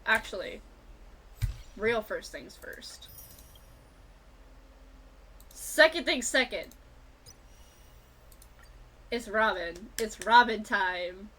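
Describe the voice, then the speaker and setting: A woman streaming a video game with casual, excitable, gushing and sweary live commentary. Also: medium pitch, drawn-out words, pauses often. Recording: music behind the voice. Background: video game music.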